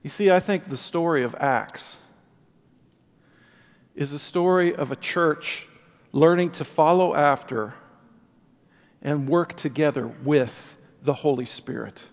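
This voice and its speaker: A man preaching a sermon in earnest, building emphasis and then dropping to quieter passages. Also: on the high side, slow pace, long pauses, in a large hall, dull audio. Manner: earnest, rising and falling emphasis.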